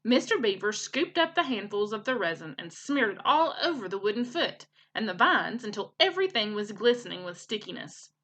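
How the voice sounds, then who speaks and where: Animated, bright-voiced woman reading a storybook. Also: medium pitch, a little fast, few pauses. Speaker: teacher reading aloud. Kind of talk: animated children's storybook narration.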